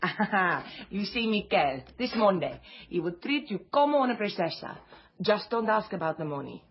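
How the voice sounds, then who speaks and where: An actress playing a bossy, flamboyant bar-owning matchmaker with a Latin American Spanish accent, pushy and theatrical, mixing broken English with Spanish. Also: female voice, medium pitch, big pitch swings, normal speed, husky, dull audio. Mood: brisk, scheming. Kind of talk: radio drama acting.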